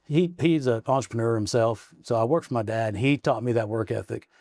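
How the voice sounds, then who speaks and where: A man's voice: medium pitch, a little fast, darkish tone, no echo. Man, forties, from arkansas, interview guest, rambling, restarts stories, close dry mic. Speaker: guest, state representative. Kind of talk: casual interview conversation. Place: office in the state capitol.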